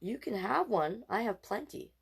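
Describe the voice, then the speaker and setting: A woman voicing a kindly, accepting old grandmother in a storybook, gentle and reassuring. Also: medium pitch, animated, moderate pace, gravelly, breathy edge, pitched above the performer's own voice, heavily acted. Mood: generous.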